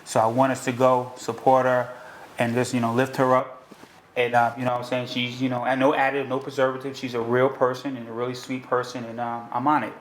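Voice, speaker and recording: A man with a New York accent hosts a relaxed interview, rambling with fillers and restarts. His voice sits in a middle register. The room rings a little, with a faint hiss under it. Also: medium pitch, fairly quick, roomy sound, poor audio. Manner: loose, chatty, many fillers and restarts. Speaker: host. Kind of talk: casual interview.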